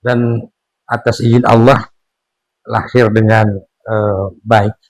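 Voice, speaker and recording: Older Indonesian-accented father, sixties, low dry voice, earnest and preachy, filler pauses with emphatic rises. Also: level tone, dry recording, poor audio. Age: 60s. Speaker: father being interviewed. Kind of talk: casual family conversation.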